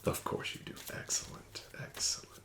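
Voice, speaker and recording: A man hosting an ASMR roleplay as a casino dealer, soft-spoken and often whispering, smooth and gently coaxing. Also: moderate pace.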